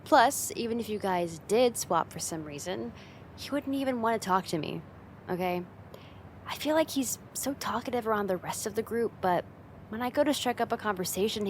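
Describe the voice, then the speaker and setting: A woman's voice: medium pitch, a little hiss or hum, natural voice. A woman playing a friend's teasing older sister in a casual, conversational ASMR roleplay spoken straight to the listener.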